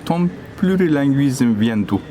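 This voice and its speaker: A man, speaking away from the microphone, asking brief, gentle interview questions outdoors. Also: medium pitch, lively intonation, takes it slowly, crowd noise.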